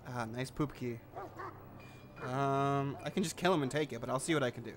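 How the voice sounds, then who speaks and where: A man's voice: mid-range voice, normal speed. A man giving casual, flippant let's play commentary, often swearing and muttering to himself. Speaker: gamer commentating on own play.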